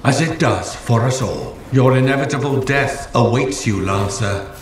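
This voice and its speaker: A man's voice: medium pitch, measured pace, background noise, lightly acted. Man playing ancient trial-master for a game, solemn and archaic, mid-range voice in big echo. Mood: solemn, grave. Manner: grave, archaic, declamatory. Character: solemn male ancient lancer lore-keeper presiding over trials. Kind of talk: scripted ceremonial game narration. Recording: echoey voice over game music.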